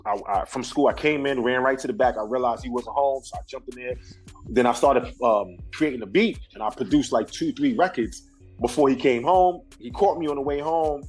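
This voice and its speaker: A man from Brooklyn, a guest artist telling stories in a relaxed, slangy way, often restarting his sentences. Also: medium pitch, brisk, soft background music, low-quality recording. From Brooklyn, New York. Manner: laid-back storytelling with slang and restarts. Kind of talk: casual interview talk.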